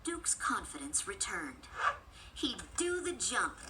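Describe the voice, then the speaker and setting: A woman's voice: mid-range voice, very animated, slow, noisy background. A woman reading a scripted children's story as the narrator, with music and sound effects behind the voice. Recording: loud background music bed.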